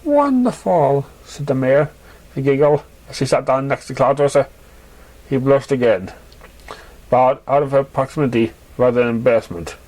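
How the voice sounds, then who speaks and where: A man narrating scripted fiction as an amateur reader, halting and sometimes stumbling over his words. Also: mid-range voice, steady tone, darkish tone, low-quality recording.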